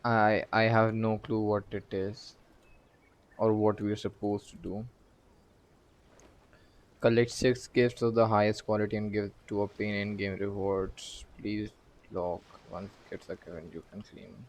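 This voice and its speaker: A man's voice: lowish voice, flat delivery, a little slow, rough recording. A man chatting casually on a game livestream, thinking aloud in a hesitant, puzzled way. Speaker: game streamer. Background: faint game music.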